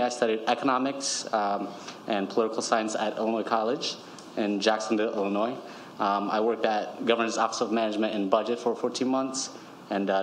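A man's voice: fairly low pitch, slight background noise, rough recording. A young man from Ethiopia introducing himself at a council podium, polite and hesitant with many fillers. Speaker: city GIS analyst and projects manager. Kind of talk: self-introduction at council meeting. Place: large council chamber at podium. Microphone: podium microphone.

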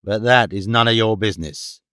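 A man voicing a gruff, domineering, bigoted father in an audiobook, curt and commanding. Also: on the low side, normal speed, sharp tone, no room sound, studio quality. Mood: angry, scornful. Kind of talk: audiobook character dialogue.

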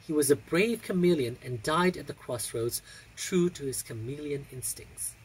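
A young adult with an androgynous, husky voice reciting poetry in a measured, theatrical way with deliberate pauses. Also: medium pitch, rough recording. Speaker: poetry reader.

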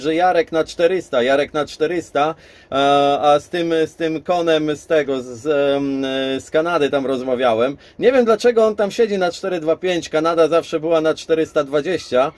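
A man's voice: on the high side, darkish tone. A man chatting casually as an amateur radio operator, rambling a little and repeating himself. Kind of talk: casual radio contact chat.

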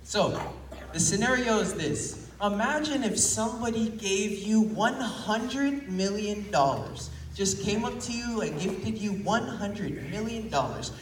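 A young man preaching a casual sermon in a small church, his voice hoarse from illness, recorded from the pews. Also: high voice, a little slow, slight background noise. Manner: relaxed and conversational, rising with emphasis. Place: small church hall with congregation. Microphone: phone recording from the pews. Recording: echoey church hall from far off.

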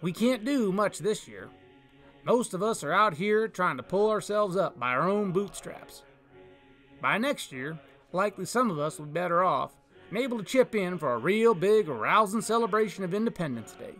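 A man acts out a patriotic frontier townsman from a storybook, addressing a crowd. The voice is fairly high, loud and rousing, with a folksy, hearty oratorical manner. Music plays behind the speech. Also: expressive, moderate pace. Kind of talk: public speech in a storybook reading.

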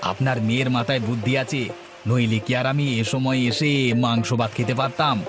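A man voicing a fretful, food-loving village husband in a cartoon, with an exclamatory, stammering, drawn-out delivery. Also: medium pitch, steady tone, close to an everyday voice. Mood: delighted, savouring. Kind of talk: cartoon dialogue acting. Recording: music bed behind voice.

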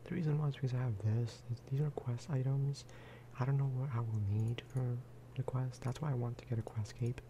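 A man giving soft-spoken ASMR game commentary in a hushed, unhurried voice that at times slips toward a whisper. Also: steady tone, slight background noise. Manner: unhurried, low-key, musing aloud. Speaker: gaming video creator.

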